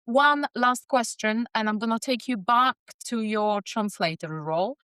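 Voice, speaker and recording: A woman's voice: fairly high pitch, unhurried, fairly bright tone, clear, resonant tone, dry recording, studio quality. A woman hosting an interview, asking questions with an enthusiastic manner. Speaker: podcast host.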